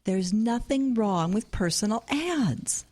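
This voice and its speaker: A woman playing a playful, enthusiastic mother in an audio comedy, coaxing and theatrical, with a husky voice. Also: medium pitch, lively intonation, takes it slowly, darkish tone. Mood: defensive, coaxing. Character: playful, enthusiastic mother with big schemes, grown daughter. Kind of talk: audio comedy drama acting.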